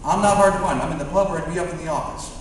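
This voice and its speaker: A man's voice: on the high side, racing. A man giving an informal, emphatic campaign speech to an audience as a self-assured student candidate. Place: large reverberant room at a public forum. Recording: echoey hall from far off, audience noise.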